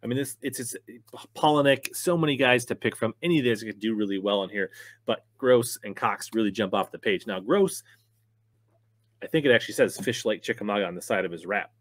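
A man's voice: fairly low pitch, fairly quick, no echo. A man in his thirties hosting his own fishing channel, giving a casual, upbeat rundown of his picks from a small home studio. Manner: upbeat, conversational, talks with his hands. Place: small home studio with wood-plank wall.

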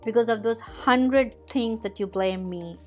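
A woman's voice: a little high, a little slow, soft background music. A young woman reading an open letter aloud in a declarative, emphatic way. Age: young adult. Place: hotel room.

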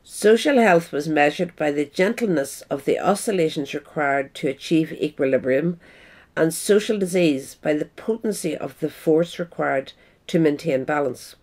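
Higher-pitched man reading an essay. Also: normal speed, darkish tone. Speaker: reader. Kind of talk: scripted narration of an essay.

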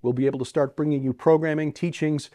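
A middle-aged man hosting a ministry program, giving a relaxed spoken tour with frequent fillers, recorded on a lapel mic in a large pole barn. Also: fairly high pitch, fast, no echo, studio quality. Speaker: ministry host. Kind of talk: casual walkthrough talk to camera. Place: inside a large metal pole barn and outdoors beside it.

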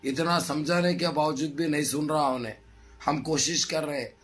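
A middle-aged man with a hoarse throat gives impassioned political commentary to his viewers in emphatic, repetitive, rhetorical phrases. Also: a little high, fairly even intonation, normal speed, a bit bright. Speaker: news commentary vlogger. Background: background music.

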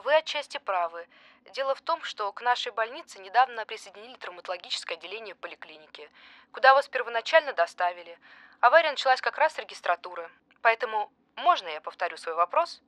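A woman's voice: on the high side, animated, fast, bright, forceful tone, no echo. An actress playing a pushy, desperate female journalist in an audio drama, politely insistent in her questioning. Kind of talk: naturalistic audio drama acting.

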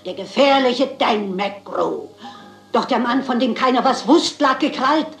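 An actress playing an eccentric, unflappable elderly spinster sleuth in a German crime radio play, genteel, deliberate and theatrical in delivery. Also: female voice, on the high side, reverberant. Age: older.

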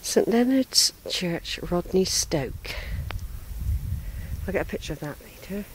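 A woman's voice: lowish voice, expressive, takes it slowly, background noise. Woman chatting to herself while filming. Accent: English West Country. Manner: chatty, talks to herself, trails off. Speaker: vlogger touring a church. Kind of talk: casual running commentary while filming. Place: outdoors by a country church, then inside it. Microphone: handheld camera mic.

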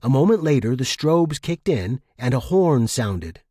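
Husky man narrating fiction, close and dry. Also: mid-range voice, sing-song, measured pace, warm tone, dry recording. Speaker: story narrator. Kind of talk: scripted first-person fiction narration.

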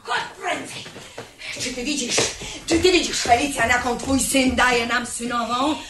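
A woman's voice: high-pitched, a little slow. An actress playing an imperious, scolding middle-aged bourgeois mother in a 1970s radio drama, melodramatic in her outrage. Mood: frantic outrage. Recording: echoey 1970s radio play, faint noise.

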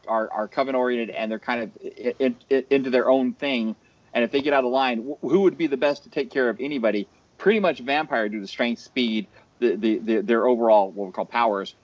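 A man's voice: medium pitch, dry recording. A man on a headset at home moderates a video call panel. He rambles through long questions full of restarts and fillers. The call audio sounds dull and muffled. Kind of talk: casual panel discussion. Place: home room. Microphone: headset over video call.

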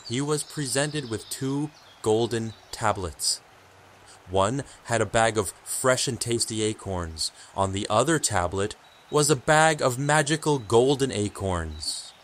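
An actor playing a solemn keeper of sacred lore in an audio drama, measured and portentous as a storyteller. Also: male voice, mid-range voice, lively intonation, slight background noise, lightly acted. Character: solemn male sage, keeper of sacred word.

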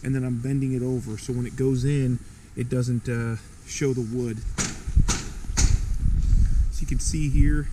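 A man's voice: medium pitch, little pitch movement, measured pace, long pauses, heavy background noise. A man filming himself on a snowy outdoor building site with a head-mounted camera, explaining his work in a plain, practical way. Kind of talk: casual how-to walkthrough. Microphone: head-mounted action camera.

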